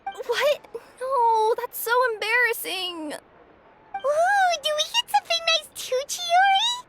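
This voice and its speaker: A woman's voice: very high voice, expressive, slow pace, a bit bright, a little hiss or hum, heavily acted. An actress playing a perky, easily flustered young female cat-spirit courier in a video game, cutesy and bouncy. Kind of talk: scripted video game voice acting.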